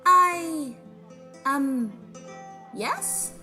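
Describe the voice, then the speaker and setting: Woman acting a high-voiced, nervous, stammering young woman. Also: drawn-out words, sharp tone, full character voice. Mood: nervous, flustered. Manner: hesitant, stammering. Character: nervous, awkward young woman out of place at a party. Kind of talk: audio drama acting. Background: music with plucked guitar.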